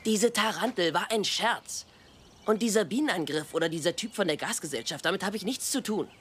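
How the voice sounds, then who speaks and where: A man's voice: sits high, brisk, fairly bright tone, slight background noise. A male actor playing a wary, defensive sixteen-year-old outsider in a German TV drama dub, speaking standard German. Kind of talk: dubbed TV drama dialogue. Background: music.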